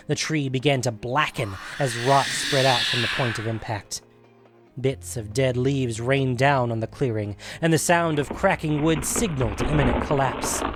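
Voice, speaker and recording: A man reading a scripted fantasy story as a dramatic narrator. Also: medium pitch.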